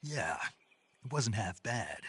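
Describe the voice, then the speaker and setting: An actor playing a gruff, terse, stoic soldier in a video game, deadpan and gravelly. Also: male voice, on the low side, slow pace, exaggerated voice. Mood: deadpan, curious.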